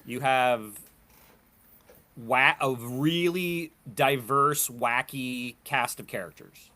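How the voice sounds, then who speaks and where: A man talking casually as a panelist in a fan discussion, halting and repeating words as he works through a thought. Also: medium pitch, bright, forceful tone.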